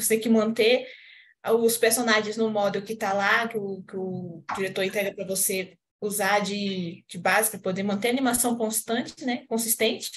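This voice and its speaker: A Brazilian woman talking casually about her work as an animator. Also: medium pitch. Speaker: working animator, former student. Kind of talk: casual chat.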